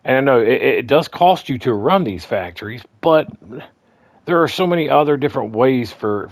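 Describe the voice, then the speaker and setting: A man with a slightly hoarse voice walking through his game farm in a casual, rambling way, full of ums and restarts. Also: fairly low pitch, darkish tone, dry recording. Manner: rambling, repeats himself, lots of ums and restarts. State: slightly hoarse, clearing throat. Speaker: gaming guide host. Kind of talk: casual gameplay walkthrough.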